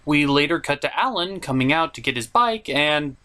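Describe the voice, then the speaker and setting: Male comedic reviewer, lively swinging pitch, recaps a film with sarcastic, incredulous asides. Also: normal speed, a little hiss or hum. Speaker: host of a movie review puppet show.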